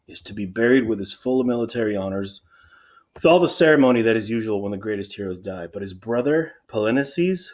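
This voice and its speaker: A man's voice: on the low side, darkish tone, close to an everyday voice. A man playing a stern, unbending king, declaiming formally and emphatically in a dramatic reading of Greek tragedy.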